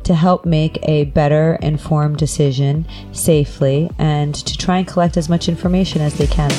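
A woman doctor hosting a podcast in her clinic, speaking in a measured, deliberate way. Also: sits low, fairly even intonation, music in the background. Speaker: host, doctor. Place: clinic room.

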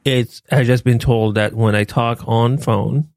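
A man co-hosting a podcast with a soft, playful way of talking in casual chat. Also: mid-range voice, fairly even intonation, a little slow, dry recording, studio quality. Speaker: podcast co-host.

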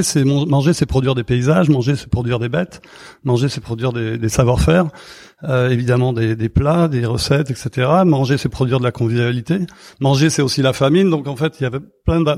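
A man speaks as an interview guest in a gravelly, mid-pitched voice. He talks conversationally but haltingly, circling back over words before he lands on the next one. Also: darkish tone. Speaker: festival organizer, guest.

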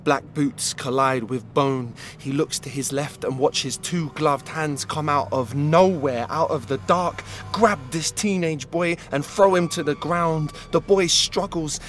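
A man narrating an audio drama intensely, sometimes dropping to a hushed whisper. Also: fairly high pitch, unhurried, hardly any pauses, faint music behind.

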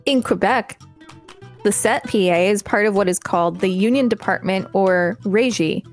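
Woman, mid-range pitch, article narration, podcast co-host. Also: normal speed, faint music behind. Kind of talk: read-aloud article narration.

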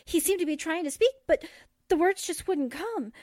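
A woman's voice: very high voice, expressive, normal speed, darkish tone, dry recording, clean studio sound. A woman playing a frank, chatty office manager in a 1940s radio drama, speaking with emphasis. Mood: distressed.

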